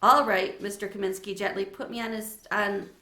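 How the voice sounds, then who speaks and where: A woman narrating a children's story aloud in an expressive read-aloud style. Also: medium pitch, fairly quick, reverberant.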